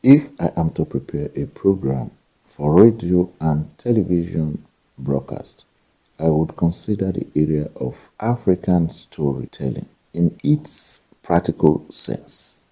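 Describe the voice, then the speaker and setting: A man with a deep, dark and husky voice reads a prepared academic statement. His delivery is formal and measured, with bookish wording. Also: very animated, rough recording. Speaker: academic contributor.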